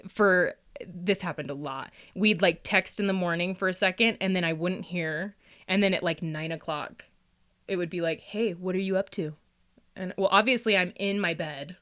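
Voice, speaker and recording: A woman in a casual podcast chat, talking in an animated, informal way and often acting out little quotes. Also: on the low side, normal speed. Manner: animated, informal, frequent laughing asides and mimicked quotes. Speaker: podcast speaker.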